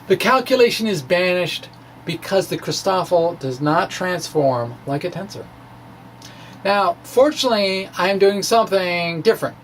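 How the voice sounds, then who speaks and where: A man with a fairly high voice presents his science blog informally. He moves haltingly, pausing mid-phrase, with a playful, wry edge. A light layer of background noise sits under the recording. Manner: wry, playful, chuckles at own asides. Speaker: amateur physicist presenting own science blog. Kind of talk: dramatic reading of a physics blog, informal explaining.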